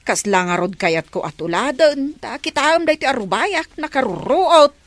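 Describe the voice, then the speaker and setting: An Ilocano single village lady in a radio comedy. Her voice sits a bit high and moves in lively swings, keen and neighbourly. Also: normal speed, no echo. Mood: agreeable. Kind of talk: comedic radio drama acting.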